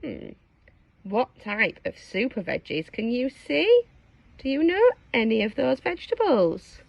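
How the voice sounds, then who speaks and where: A woman reading a storybook aloud to young children, dramatic and expressive, engaging her listeners with questions. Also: on the high side, unhurried, gravelly, no room sound, lo-fi sound. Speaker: storybook reader.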